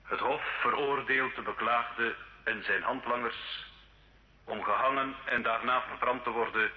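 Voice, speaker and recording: An actor playing a solemn church judge in an old radio drama, grave, formal and measured. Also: male voice, mid-range voice, fairly even intonation, close to an everyday voice. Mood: grave, stern. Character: solemn church judge pronouncing absolution and death sentence. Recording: muffled old radio recording.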